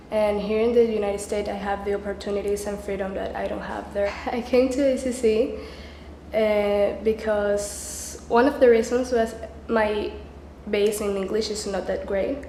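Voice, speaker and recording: A woman's voice: medium pitch, vocal fry, dark tone, roomy sound, a little hiss or hum, rough recording. A young woman from Venezuela with a Venezuelan Spanish accent, a student talking about herself in an interview and sometimes searching for words.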